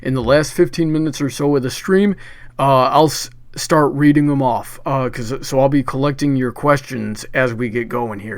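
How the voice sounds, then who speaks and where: Brief: male host, mid-pitched, friendly and rambling, dry close sound. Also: no echo. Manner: chatty and upbeat, frequent "uh" restarts. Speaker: debate livestream host. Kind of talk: casual hosting chat.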